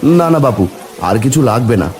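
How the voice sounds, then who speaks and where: A man voicing a meek, put-upon village husband and father in a cartoon, plaintive and expressive. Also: medium pitch, moderate pace, natural voice. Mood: dismayed, pleading. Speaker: cartoon voice actor.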